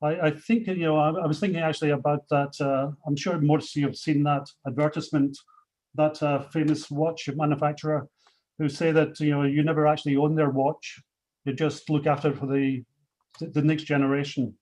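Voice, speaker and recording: A Scottish man speaking on a video call, his voice set a little high for a man. He presents awards in a measured, halting way, padding his sentences with ums and uhs and often restarting them. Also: level tone, darkish tone, poor audio. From Scotland. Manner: hesitant, many fillers and restarts. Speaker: CEO presenting industry awards. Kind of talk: webinar remarks, unscripted.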